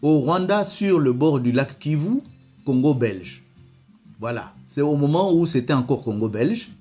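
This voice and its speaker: A man's voice: fairly high pitch, animated, unhurried, mellow, breathy edge, faint music behind. A man, not a native French speaker, giving commentary and reading from an old document, hesitant with many repeated words. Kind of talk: slow spoken commentary reading from a book.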